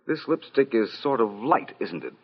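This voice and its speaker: An actor playing an urbane, dry and politely probing detective with a husky voice in an old-time radio mystery. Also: male voice, mid-range voice, big pitch swings, normal speed. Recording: muffled vintage radio recording.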